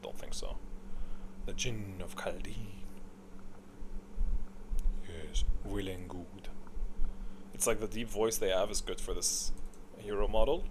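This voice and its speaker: Male game tier-list host, slightly low voice, off-the-cuff and halting, some background noise. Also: fairly even intonation. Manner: hesitant, rambling, stop-start delivery. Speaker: video host ranking game voice lines. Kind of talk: casual unscripted tier-list commentary.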